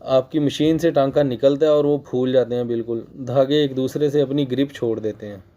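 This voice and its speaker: Hoarse Pakistani man teaching sewing. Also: mid-range voice, steady tone, a little fast, warm tone. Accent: Pakistani Urdu. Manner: patient, repeats points for emphasis; clears his throat often. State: hoarse from a cold. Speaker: sewing machine instructor. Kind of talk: casual tutorial voice-over. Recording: dull lo-fi recording.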